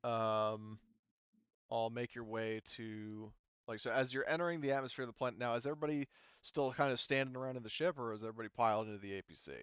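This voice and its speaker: A man's voice: mid-range voice, fairly even intonation, quick pace, a bit bright, clean tone, no room sound, muffled recording. A man running a tabletop role-playing game as game master, explaining things in a casual, informal way. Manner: informal, sweary.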